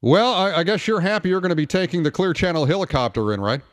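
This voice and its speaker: A man's voice: sits high, very animated, quick pace. A man with an American accent chatting casually and informally about sports as a radio host in a studio. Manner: conversational, informal. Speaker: radio talk show host. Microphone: studio microphone.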